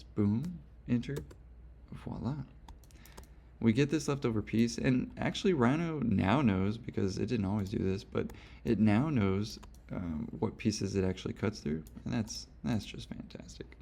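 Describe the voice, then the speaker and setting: A young man with a hoarse, gravelly voice talks through a software tutorial in a loose, rambling way, full of fillers and quick self-corrections. His voice sits a little low, and the soft clatter of a keyboard and mouse clicks carries underneath. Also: a little hiss or hum. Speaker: instructor. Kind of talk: screen-recorded software tutorial.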